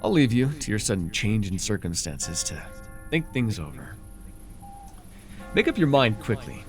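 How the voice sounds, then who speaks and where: A man playing a scheming, possessive dark sorcerer ruler in a fantasy roleplay, speaking theatrically and condescendingly with sighs and deliberate pauses. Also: on the low side, very animated, faint music behind. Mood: quiet menace. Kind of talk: immersive ASMR fantasy roleplay acting.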